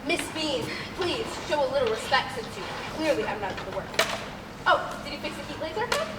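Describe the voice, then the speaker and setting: A woman's voice: sits high, lively intonation, a little fast. A young woman playing a pompous, autocratic, vain radio play director in an imperious, theatrical, cutting way. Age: teenager. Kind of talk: amateur stage comedy acting. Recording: echoey hall, far off, noisy.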